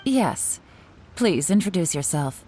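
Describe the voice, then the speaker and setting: A woman playing a cool, shrewd, composed assessor in a video game, speaking in a measured, analytical, self-assured way. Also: on the low side, sing-song, dark tone, a little hiss or hum. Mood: cool, probing.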